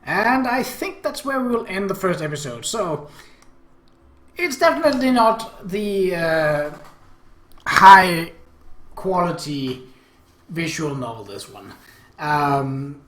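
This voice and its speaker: A man hosting a let's play, reading a visual novel aloud and adding casual, halting commentary. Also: on the high side, very animated, fairly bright tone. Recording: echoey room.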